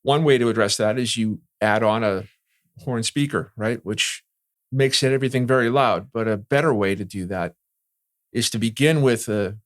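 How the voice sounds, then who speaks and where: Low-voiced man explaining in an interview. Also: normal speed, no room sound, studio quality. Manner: explanatory, often checks in with "right?". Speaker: security and communications industry veteran being interviewed. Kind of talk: conversational expert interview answers.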